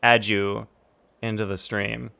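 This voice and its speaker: Bright-voiced man streaming casually. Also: lowish voice, little pitch movement, takes it slowly, gravelly, clear, resonant tone. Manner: thinks aloud while setting things up. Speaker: livestreamer, host. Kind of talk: casual gaming stream chat. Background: background music.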